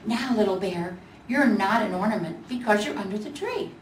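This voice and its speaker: A woman's voice: mid-range voice, animated, normal speed, lightly acted. Woman as a chatty gingerbread boy, roomy sound. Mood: proud, friendly. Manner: explanatory, matter-of-fact. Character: chatty little male gingerbread Christmas ornament, proud and knowing. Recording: echoey room, distant mic, faint noise.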